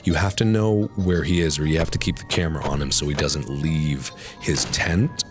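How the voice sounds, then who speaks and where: A man with a deep, raspy voice, worn down by illness, walks through his gaming strategy in a relaxed, chatty way. He explains things step by step and fills the gaps with little fillers. Clear music plays behind his voice the whole time. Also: normal speed. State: sick, raspy voice. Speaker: gaming video creator. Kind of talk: casual gameplay commentary.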